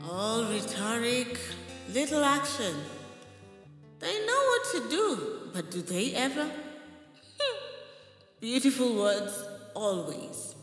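A woman's voice: big pitch swings, takes it slowly, long pauses, fairly bright tone, reverberant, in a large hall, heavily acted. A woman playing a cynical train worker in a radio play, speaking in a dry, scoffing tone. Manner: dry, scoffing, low-key. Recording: music playing behind the voice.

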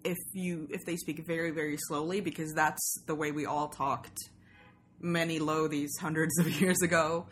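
A woman's voice: low-pitched, fairly even intonation, moderate pace. A woman from Sweden, a native Swedish and Russian speaker, chatting casually and wryly in English as an interview guest. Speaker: guest, journalist and podcaster. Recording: faint background noise.

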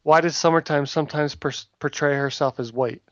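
Wisecracking man with a higher voice. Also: steady tone, a bit bright, no echo. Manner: quick wisecracks and dry one-liners. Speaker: co-host. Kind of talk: casual comedic banter. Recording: dull, muffled lo-fi audio.

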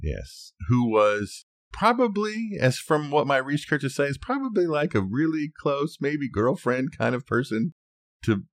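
A man's voice: mid-range voice, very animated, a little slow, no room sound, studio quality. A man co-hosting a casual design discussion, telling researched history in a conversational, hedging way, as if thinking aloud. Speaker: podcast co-host.

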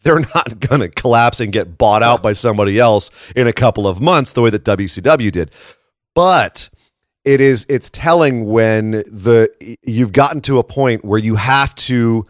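A man hosts a casual talk podcast in a froggy, slightly hoarse voice. He makes his points emphatically and often checks in with tag questions. The sound is dry, with little room around him. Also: mid-range voice, no room sound. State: tired froggy morning voice after a late shift. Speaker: podcast host. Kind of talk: casual wrestling commentary chat.

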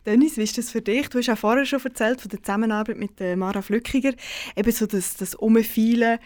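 A woman's voice: on the high side, steady tone, fast talker, a little mellow. A woman hosting a conversation, asking long, loosely phrased questions with many fillers. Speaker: podcast host. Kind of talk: conversational interview.

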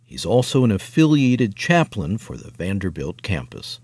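Lively-voiced man narrating, dry studio. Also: lowish voice, moderate pace, dry recording. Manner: clear, crisp broadcast delivery. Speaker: radio narrator. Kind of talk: scripted narration.

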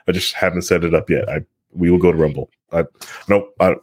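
A man's voice: sits low, steady tone, brisk, darkish tone, husky, no echo. A man hosting a podcast in a casual chat, with a joking, rambling way of talking. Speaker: podcast host.

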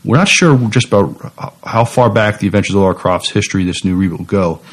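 A man co-hosting a podcast, chatting casually in a measured, somewhat tentative way and at times reading news copy. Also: on the low side, husky. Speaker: podcast co-host.

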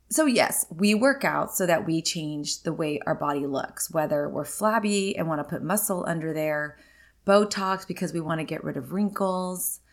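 A woman in her late forties hosts a solo podcast in an easy, confessional chat. Her voice sits a little low for a woman, and she talks openly, filling her sentences with ums and likes as she goes. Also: gravelly. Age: 40s. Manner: chatty and candid, many ums and likes. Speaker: podcast host.

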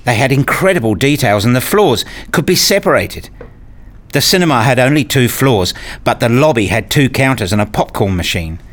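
A man's voice: mid-range voice, lively intonation, normal speed, natural voice. A man playing a frustrated, pleading father who tells his own life in a radio play, wordy and earnest. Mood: dejected. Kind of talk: first-person radio play narration and dialogue.

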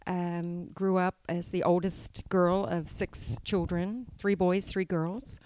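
A New Zealand woman in her fifties, a podcast guest chatting casually about her life, hesitant with many pauses and easy laughs. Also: fairly low pitch, fairly even intonation, warm tone, clear, resonant tone, low-quality recording. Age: 50s. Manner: hesitant, lots of ums and restarts, laughs easily. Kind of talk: casual interview chat.

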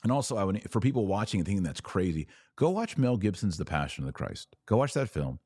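A man with a low-pitched voice speaks in a close, dry recording. He explains religious ideas to podcast viewers in an earnest, conversational way, often posing rhetorical questions. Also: very animated, quick pace, warm tone, slightly rough, dry recording. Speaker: podcast host. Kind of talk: casual religious talk answering viewer comments.

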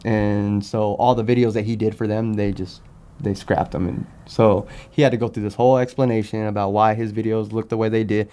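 A man with a fairly low voice in a casual interview. He explains things informally, halting and doubling back on words as he goes. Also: little pitch movement, mellow, smooth. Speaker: podcast guest, sneaker customizer.

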